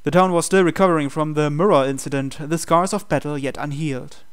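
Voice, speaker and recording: A man narrates a visual novel playthrough, reading the script aloud. His voice is clean and smooth and sits slightly higher than most men's. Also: steady tone, a little fast. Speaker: playthrough host reading game text.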